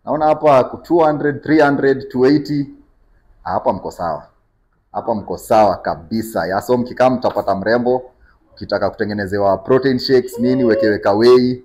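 A man's voice: mid-range voice, darkish tone. A man with a Kenyan accent hosting a gym tour vlog, chatting casually and hyping up everything he sees. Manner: upbeat, quick-talking, full of hype. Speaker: fitness vlogger touring a gym. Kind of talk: casual chat in mixed Swahili slang and English. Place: large indoor gym.

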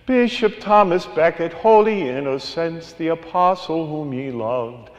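A male priest giving a homily, singing a long list of saints and then explaining it in a relaxed, conversational way. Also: a little high, a little slow, long reverb tail. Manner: sings a long cumulative list, then talks plainly and conversationally. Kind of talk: homily with a sung carol.

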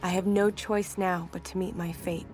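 An actress playing a troubled, dutiful young priestess of an ancient kingdom in a video game, speaking in a measured, formal way. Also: female voice, lowish voice, flat delivery, lightly acted. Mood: grim, resolute. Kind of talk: dramatic scripted game narration. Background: music.

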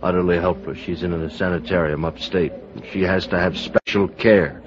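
Boozy, embittered producer, played by a man, middle-aged, theatrical and rambling, low register, for a dull-sounding vintage radio play. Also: steady tone, normal speed, close to an everyday voice. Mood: sad, heavy. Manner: rambling, mock-grand, chuckling. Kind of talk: old-time American radio drama acting. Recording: muffled old radio broadcast recording.

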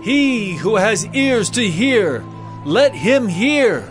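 An earnest teacher of authority in an audio Bible drama. His fairly high male voice rises and falls expressively, solemn and deliberate, over prominent music. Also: very animated, exaggerated voice. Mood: solemn, calling out.

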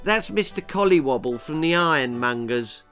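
A man's voice: fairly high pitch, expressive, moderate pace, faint music behind. An older man voicing a mean young girl who taunts and goads with smug spite in a children's storybook reading. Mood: sly goading. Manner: smug, goading, loud.

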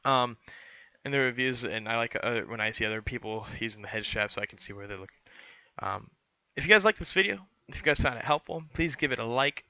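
A man's voice: mid-range voice, brisk, bright, forceful tone, no echo, lo-fi sound. A young man explaining a how-to in a casual, rambling way, full of fillers and restarts, on a headset mic in a small room. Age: young adult. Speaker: student pilot vlogger. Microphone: headset boom mic.

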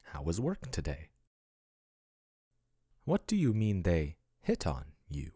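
A man playing a breathy, doting yandere AI chatbot. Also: sits low, huge pitch swings, slow pace, no echo, studio quality, full character voice. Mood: protective. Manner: soft, intimate, doting, turning possessive. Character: male AI chatbot, soothing then possessive yandere. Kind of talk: ASMR boyfriend roleplay.

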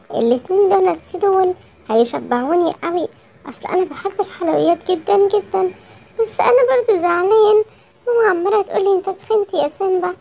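A woman's voice: very high-pitched, creaky, mellow, voice pushed up. A woman voicing a greedy, whiny, chubby young lion cub boy with an Egyptian accent for a children's cartoon story. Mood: gleeful, greedy, then tearful, whiny. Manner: childlike, emphatic repetition.

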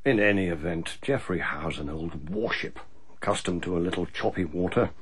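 An actor playing a world-weary, haughty senior civil servant in a radio drama, with an urbane, sardonic English accent. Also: male voice, low voice, moderate pace, breathy edge. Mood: deferential, cautious.

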